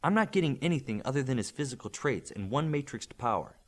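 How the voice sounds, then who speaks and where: A man's voice: mid-range voice, animated, fast, close to an everyday voice. Man voicing an inquisitive, analytical superheroine. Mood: matter-of-fact. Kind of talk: audiobook character dialogue.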